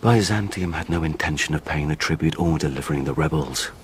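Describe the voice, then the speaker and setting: An actor in a radio drama playing a menacing, coolly sardonic barbarian captor, deliberate and taunting. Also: male voice, extremely low pitch, slight background noise. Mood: grave.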